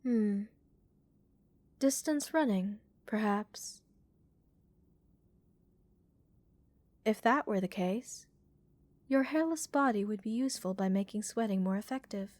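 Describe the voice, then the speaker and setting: A woman voicing a curious, calm female alien scientist in a relaxing ASMR roleplay, speaking in a measured, clinical and gently reassuring way. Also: medium pitch, no echo, close to an everyday voice. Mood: clinical curiosity.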